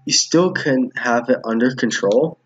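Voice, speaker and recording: Man reading as a husky, menacing school principal. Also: mid-range voice, unhurried, dull audio. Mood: scolding. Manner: commanding, threatening. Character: menacing male school principal, cold bullying boss.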